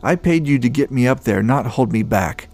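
A man voicing a stubborn, weakening male climber who speaks in a gruff, gasping way. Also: medium pitch, lively intonation, normal speed, darkish tone, lightly acted. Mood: defiant. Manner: weak, gasping, defiant.

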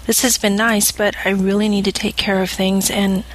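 A woman's voice: medium pitch, flat delivery, moderate pace, lightly acted, rough recording. A woman acting a guarded, evasive young former lover in an audio story, reluctant and brushing people off. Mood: reluctant, troubled. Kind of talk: audio fiction dialogue reading.

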